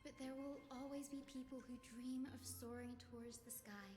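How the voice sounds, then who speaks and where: A woman's voice: high-pitched, fairly even intonation, normal speed, a little mellow, natural voice. An actress playing a sweet, earnest young female physician in a video game, with a lightly playful manner. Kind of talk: scripted video game dialogue. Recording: roomy hall reverb. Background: music.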